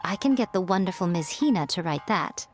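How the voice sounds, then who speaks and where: Actress as a poised, teasing fox-spirit lady publisher, smooth, faint game music behind. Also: lowish voice, expressive, fairly quick, lightly acted. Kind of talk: video game voice acting.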